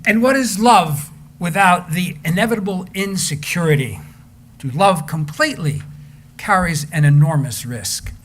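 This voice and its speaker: A man's voice: sits high, lively intonation, measured pace, a bit bright, slight background noise. A man reading scripted narration aloud as a readers theater narrator.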